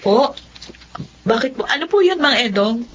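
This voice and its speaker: A woman's voice: fairly high pitch, expressive, normal speed, muffled recording, a little hiss or hum, natural voice. A woman with a Filipino accent playing an earnest, respectful daughter who was wronged, in a radio drama.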